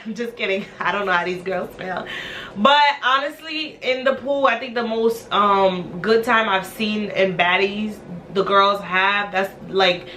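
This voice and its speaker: Woman, casual recap vlog, chatty, blunt slang, laughs a lot, bright tense voice, faint noise. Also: mid-range voice. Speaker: recap vlogger.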